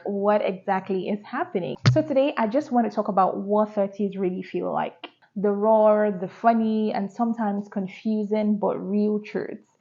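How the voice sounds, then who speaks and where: A woman's voice: medium pitch, mellow, highs cut off. A woman, talking candidly to her vlog audience and asking rhetorical questions. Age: 30s. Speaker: vlogger. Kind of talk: casual reflective talk to camera.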